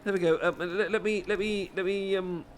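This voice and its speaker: Man acting a stammering, bashful British bloke, high-ish voice. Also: fairly even intonation, fairly quick, a little hiss or hum, natural voice. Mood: excited, flustered. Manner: stammering, rambling, apologetic, self-deprecating. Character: flustered, adorkable, bashful British man on a meet-cute. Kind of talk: intimate ASMR roleplay acting, addressed to listener.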